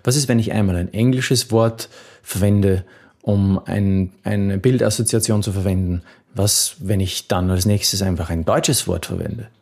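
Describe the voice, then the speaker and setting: A man's voice: fairly low pitch, measured pace, a little mellow. A man coaching on memory techniques, answering a viewer's question in an earnest, encouraging way with occasional restarts.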